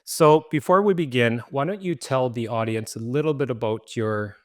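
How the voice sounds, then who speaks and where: A man's voice: mid-range voice, normal speed, a little mellow. A man hosting a podcast, asking probing questions in a casual way. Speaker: podcast host. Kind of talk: podcast interview.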